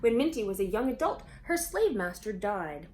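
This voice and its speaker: A woman reading a children's storybook aloud expressively, pausing for conversational asides and questions to young listeners. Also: mid-range voice, sing-song, a little mellow, a little hiss or hum.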